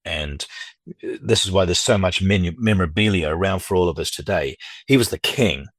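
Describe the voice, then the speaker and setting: A man's voice: deep voice, fairly quick, husky, no room sound. A man in his fifties, a record dealer and collector, chatting as an interview guest in a rambling, upbeat way. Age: 50s. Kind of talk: casual interview chat.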